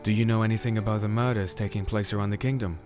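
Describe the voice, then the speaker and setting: A man playing a calm, firm crusader in a fantasy audio drama, narrating and speaking in a measured, solemn way. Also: lowish voice, music in the background, lightly acted. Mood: calm, probing. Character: disenchanted male crusader, calm, firm sword-bearing investigator. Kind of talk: audio drama dialogue and first-person narration.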